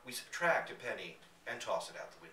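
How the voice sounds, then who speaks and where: A man reading a literary story aloud to listeners in a bookstore, with theatrical, deliberate phrasing. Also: medium pitch, big pitch swings, bright, forceful tone. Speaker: reader at a live literary reading. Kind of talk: expressive scripted story narration. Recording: echoey room, distant mic, faint background noise.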